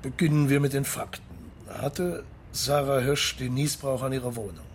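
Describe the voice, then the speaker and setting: An actor playing a polite, persistent Italian police investigator in a radio crime play, asking formal, matter-of-fact questions. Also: male voice, medium pitch, steady tone, moderate pace, a little hiss or hum, close to an everyday voice. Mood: probing. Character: sober, persistent male Carabinieri investigator, polite and probing.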